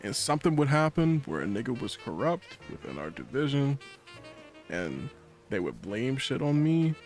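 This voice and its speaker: A man recounting personal experiences casually, in halting, emphatic slang. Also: medium pitch, big pitch swings, a little mellow, faint music behind. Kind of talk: casual chat, personal recollection.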